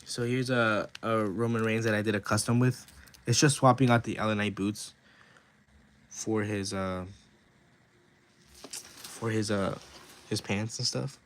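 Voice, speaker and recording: A man hosting a casual livestream, rambling with lots of fillers, his voice raspy and hoarse from talking nonstop. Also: lowish voice, steady tone, unhurried, pauses often, a little hiss or hum. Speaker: livestream host and wrestling video creator.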